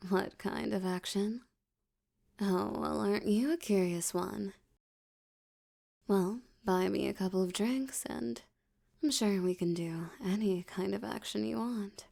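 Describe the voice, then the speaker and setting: A woman playing a flirtatious, domineering mafia boss in an ASMR roleplay, teasing and condescending, with a breathy voice that slips toward whispers. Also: medium pitch, warm tone. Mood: seductive, commanding. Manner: teasing, seductive, condescending, drawn-out delivery.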